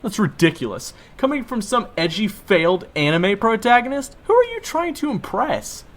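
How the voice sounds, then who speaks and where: A man voicing a hot-headed young boyfriend who shouts insults and picks a fight. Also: high-pitched, very animated, normal speed, a little hiss or hum, pitched above the performer's own voice, full character voice. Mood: aggressive. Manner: aggressive, loud, insulting.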